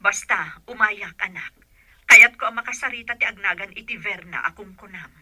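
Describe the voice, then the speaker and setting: A woman's voice: mid-range voice, normal speed, pushed and bright, no echo. A woman acting a protective, plain-spoken provincial mother in an Ilocano radio drama. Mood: determined.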